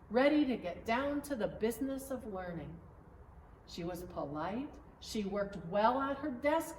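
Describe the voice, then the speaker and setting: Female storyteller, higher voice, measured and expressive children's read-aloud; echoey recording with slight background noise. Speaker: pastor reading a story aloud. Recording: roomy echo, distant mic, background noise.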